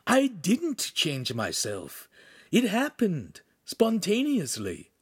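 Storybook imp, anxious and quirky, pitched up, lively, earnest explainer; male narrator performs. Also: unhurried, dry recording, clean studio sound. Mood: dejected, anxious. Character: nervous, quirky magical shape-shifting imp with a tail, speculative.

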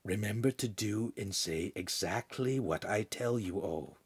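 Man playing a hushed, sly guide. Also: on the low side, big pitch swings, a little slow, husky, heavily acted. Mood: conspiratorial. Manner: hushed, knowing, instructive. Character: sly, whispering guide coaching teenagers, dryly scheming.

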